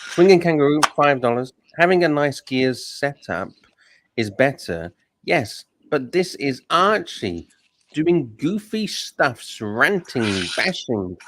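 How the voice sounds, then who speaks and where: A man hosting a livestream, reading super chats aloud and chatting casually, animated and swearing. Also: medium pitch, slight background noise. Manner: animated, swears, mocks and laughs. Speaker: livestream host.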